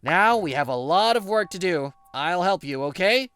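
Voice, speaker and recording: A man playing an easygoing, patient office senior in an English manga dub, with an expressive, conversational delivery. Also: high voice, moderate pace, clean tone. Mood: reassuring.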